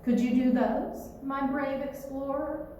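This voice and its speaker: A woman's voice: high voice, flat delivery, slow pace, dark tone, distant mic, a little hiss or hum, voice pushed up. Woman playing a playful, teasing storybook dad, echoey room. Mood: playful, questioning. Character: playful, affectionate father gently testing his young daughter.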